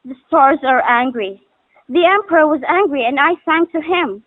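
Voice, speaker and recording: A woman acts out an earnest young daughter with a high voice, pleading in formal, old-fashioned stage lines. The sound is dry and narrow, like a telephone. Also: moderate pace, no room sound, lightly acted. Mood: anxious, pleading. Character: young devoted daughter of a bell maker, anxious and pleading. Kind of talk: stylized stage drama recital of formal archaic lines. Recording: muffled phone-like audio.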